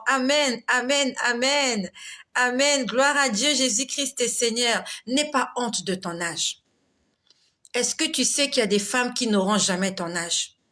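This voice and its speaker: Woman, 40s, chatty faith talk, laughs often, clear bright tone. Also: fairly high pitch. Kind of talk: casual live devotional talk reading viewer comments.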